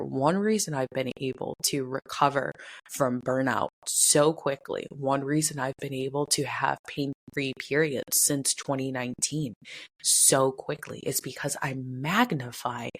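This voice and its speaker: Low-voiced, stuffy, chatty woman podcaster. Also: a little slow. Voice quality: raspy. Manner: chatty, upbeat, addresses listener as bestie. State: congested, stuffy. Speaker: podcast host. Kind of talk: casual wellness podcast chat.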